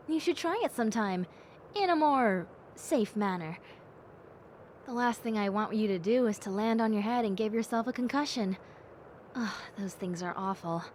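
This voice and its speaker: A woman voicing a gentle, cheerful young bat girl in a soft, playful and sincere audio roleplay. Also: fairly high pitch, unhurried, long pauses, a little hiss or hum, close to an everyday voice. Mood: playful, content, then mildly pained. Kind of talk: audio roleplay addressed to the listener.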